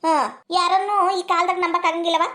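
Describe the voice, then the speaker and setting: Spiteful older mother-in-law played by a woman, very high-pitched, melodramatic, North Karnataka accent, for family drama. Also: flat delivery, a little fast, fairly bright tone. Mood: taunting. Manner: taunting, melodramatic. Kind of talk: amateur family drama skit.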